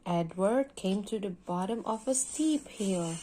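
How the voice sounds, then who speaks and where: A woman's voice: mid-range voice, lively intonation, a little slow, mellow, a little hiss or hum, poor audio. A woman reading a storybook aloud to a young child, expressively, coaching and prompting as she goes. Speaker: parent helping a child read.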